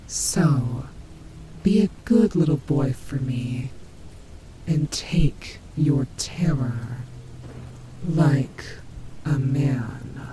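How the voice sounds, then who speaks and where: Nightmare animatronic rabbit, played by a woman: taunting, theatrical, very drawn-out delivery, audio roleplay, clearly noisy recording with rumble. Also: heavily acted. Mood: mocking, gloating. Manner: slow, mocking, theatrical drawl with whispered asides. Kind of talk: scripted audio roleplay acting.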